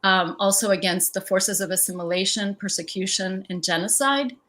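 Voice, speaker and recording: A woman's voice: medium pitch, moderate pace, a bit bright. Reflective woman artist guest. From El Salvador. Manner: thoughtful, reflective, with frequent ums. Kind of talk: conversational museum artist talk.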